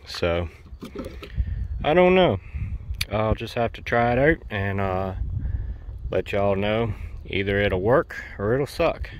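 A man's voice: fairly low pitch, lively intonation, slow pace, long pauses, slight background noise. A man with a Southern US accent talking casually and off the cuff through a homestead DIY project, folksy and plain-spoken, rambling a little. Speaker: homestead DIY video maker. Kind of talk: casual unscripted how-to narration. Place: outdoors on a rural homestead.

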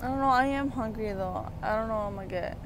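A woman's voice: fairly high pitch, fast. A young woman chatting casually into her phone while traveling, laughing often and mixing in some Spanish. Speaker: vlogger. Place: hotel room and car. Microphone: phone held at arm's length. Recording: phone video with clear background noise.

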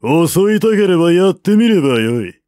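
A man's voice: high-pitched, very animated, normal speed, warm tone, no room sound, studio quality, pitched above the performer's own voice. A man voicing a brash, defiant warrior of ancient China in an audiobook, bold and challenging.

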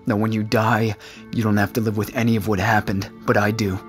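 A lowish-voiced man played by an actor, the exasperated friend who still cares. He is blunt and emphatic in a naturalistic audio drama, with light music behind him. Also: little pitch movement, fairly quick, natural voice. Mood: pained. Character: exasperated, protective male friend of an addict, blunt and profane.

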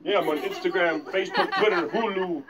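A man's voice: high voice, brisk, a loud crowd. A young man doing casual, rambling stand-up outdoors, exclaiming and getting sidetracked as he banters and tells stories. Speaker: stand-up comedian. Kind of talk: casual live stand-up, storytelling and crowd banter. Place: outdoors at night by a log cabin porch. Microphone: handheld microphone, filmed from a few meters. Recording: distant phone recording of outdoor amplified set.

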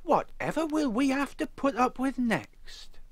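A man's voice: extremely high pitch, sing-song, a little slow, no echo, voice pushed up, full character voice. A man voicing a fussy, exasperated female strawberry character in a children's storybook, with an indignant manner. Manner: indignant, tutting.

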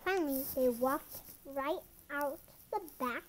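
A storybook reader doing an expressive children's picture-book read-aloud, sometimes stumbling over words, recorded indoors at a table. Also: high voice, takes it slowly, slight background noise. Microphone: camera over the book.

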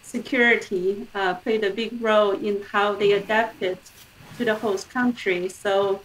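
A woman's voice: mid-range voice, fairly even intonation, measured pace, a little hiss or hum. Woman heard slightly off-mic, explaining research. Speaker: guest professor. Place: room at home, video call. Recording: slightly distant video-call microphone.

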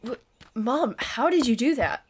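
A woman's voice: a little high, animated, unhurried, breathy edge. A woman playing an easygoing, modest, dry-humored teenage younger sister in an audio drama. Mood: pleased, surprised. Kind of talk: fan audio drama acting.

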